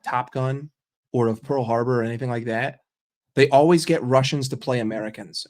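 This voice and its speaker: A young man streaming, chatting casually with his viewers, joking and swearing freely. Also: medium pitch. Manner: profane, joking, reacting to chat. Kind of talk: casual livestream chat.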